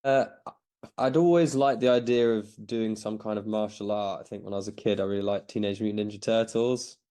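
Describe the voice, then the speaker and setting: A man's voice: lowish voice, steady tone, fairly quick, mellow, smooth. A man in his thirties who grew up in Belgium, answering interview questions in a casual, hesitant way with many restarts. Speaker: podcast guest.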